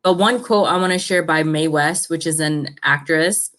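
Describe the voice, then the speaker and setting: Brief: low-pitched woman host, encouraging and affirming. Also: normal speed, a bit bright. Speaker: show host. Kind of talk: casual interview chat.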